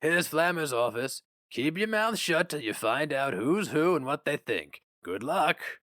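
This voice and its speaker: Man doing a folksy old factory veteran, higher-pitched. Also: very animated, a little slow, fairly bright tone, dry recording, clean studio sound. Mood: friendly advice. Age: older. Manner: folksy, wistful, rambling. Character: talkative, kindly, proud old company veteran man.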